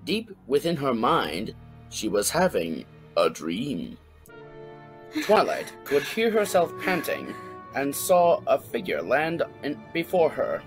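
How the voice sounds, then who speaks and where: A man's voice: mid-range voice, wildly swooping pitch, slow pace, poor audio. A man narrating a scripted story as an audiobook. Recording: music behind the voice. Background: background music.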